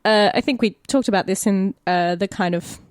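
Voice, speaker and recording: A woman's voice: mid-range voice, normal speed, no room sound, clean studio sound. A woman with an Australian accent chatting casually and candidly as an actor on a podcast, swearing freely. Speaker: podcast cast member, actor. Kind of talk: casual chat.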